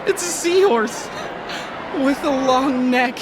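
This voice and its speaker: An actress playing a tipsy, wistful drunkard who fancies herself a poet in a video game, languid and woozy. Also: female voice, high-pitched, slow pace, background noise. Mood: tipsy amusement. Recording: background music under the voice.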